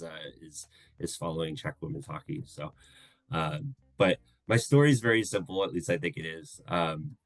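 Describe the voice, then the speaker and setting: A man in his thirties, guest co-host on a podcast, introducing himself in an earnest, measured way with many hesitations. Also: low-pitched, no echo. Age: 30s.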